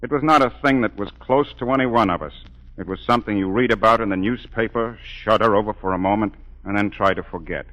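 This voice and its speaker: A man acting a proud, suave opera singer in a 1940s radio drama, smooth, formal and theatrical, with dry sarcasm. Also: fairly low pitch, quick pace, fairly bright tone, natural voice, poor audio. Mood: reflective. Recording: 1943 radio broadcast with music.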